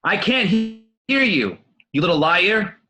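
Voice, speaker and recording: A man's voice: high voice, big pitch swings, measured pace, over music. A man playing an angry, bullying working-class father in an amateur radio play. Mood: furious, accusing.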